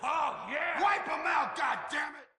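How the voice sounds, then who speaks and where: An actor playing a rowdy, vengeful gang follower, shouting from far off in an echoey hall. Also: male voice, extremely high pitch, unhurried, background noise. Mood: riled up. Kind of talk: shouted crowd responses in game cutscene.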